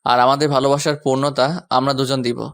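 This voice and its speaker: A man's voice: medium pitch, flat delivery, fairly quick, close to an everyday voice. Man playing a level-toned, confident young lover. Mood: reassuring. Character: devoted, confident young male lover, protective and defiant. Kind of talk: romantic audio story acting.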